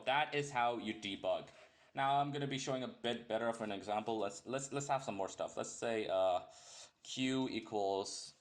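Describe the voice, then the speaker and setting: A young man with a slightly low voice talks through a coding tutorial at his desk mic. He rambles, restarts his sentences and corrects himself, tossing in joking asides. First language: English. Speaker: programming tutor. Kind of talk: casual screen-recorded coding tutorial.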